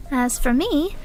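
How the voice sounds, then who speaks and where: High-voiced, proud young astrologer mage. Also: female voice, darkish tone, exaggerated voice. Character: proud, reserved young female astrologer mage in an anime fantasy game. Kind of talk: video game voice acting.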